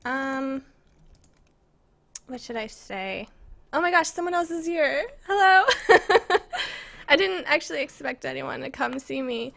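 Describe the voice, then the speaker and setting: A woman's voice: high voice, animated, a little slow, vocal fry, long pauses, fairly bright tone. An American woman chatting casually to viewers on a live stream, rambling in a self-conscious, giggly way. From United States. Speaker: live streamer and game map maker. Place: indoors at a computer in an apartment.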